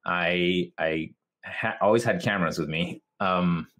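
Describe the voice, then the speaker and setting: Male interview guest, deep register, North American accent, conversational explainer. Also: measured pace, fairly bright tone. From Japan. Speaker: interview guest, video marketer. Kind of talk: casual interview answers.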